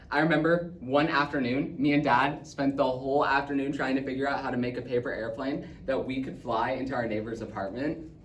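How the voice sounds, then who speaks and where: A man's voice: medium pitch, lightly acted. Man acting as an earnest young boy, echoey and distant. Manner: earnest, theatrical, swinging from excited to hushed. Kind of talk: dramatic monologue in speech competition style. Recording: distant echoey room, faint noise.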